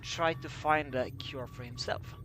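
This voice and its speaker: A man reading a scripted story aloud as an amateur narrator, halting and stumbling over his words. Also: fairly high pitch, fairly even intonation, fairly bright tone, soft background music. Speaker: amateur fan fiction reader.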